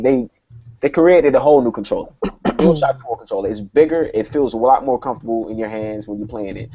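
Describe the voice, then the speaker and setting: A man with a dark, husky voice discusses tech casually as a podcast panelist. He explains things emphatically, working through examples. The recording is dull and lo-fi. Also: medium pitch.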